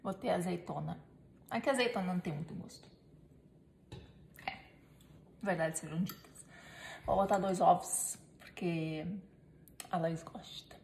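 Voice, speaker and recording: A woman's voice: lowish voice, measured pace, pauses often, a little mellow. A woman in her thirties demonstrating a recipe in her home kitchen, chatting playfully with viewers and her helper as she works. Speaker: home cooking vlogger. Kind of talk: casual cooking demonstration. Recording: echoey kitchen with clear background noise.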